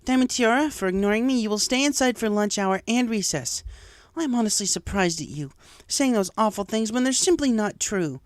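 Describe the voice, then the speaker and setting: Man putting on a stern, high-pitched schoolteacher mare. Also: expressive, fairly quick, no echo, clean studio sound. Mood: firm, disappointed. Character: stern schoolteacher mare, adult female pony, firmly scolding.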